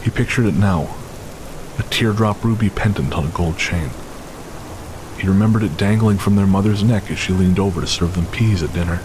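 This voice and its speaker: A man narrating a scripted audio drama in a measured, literary storytelling manner. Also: low-pitched, noisy background. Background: music and rain.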